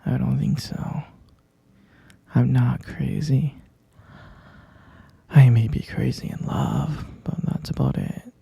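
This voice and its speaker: An obsessive, sweetly menacing captor boyfriend acted by a man in an ASMR roleplay. He coos slowly and half-whispers, coaxing and repeating himself. The voice is very breathy and husky, close and dry. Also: medium pitch, fairly even intonation, warm tone, no room sound, full character voice. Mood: insistent, defensive.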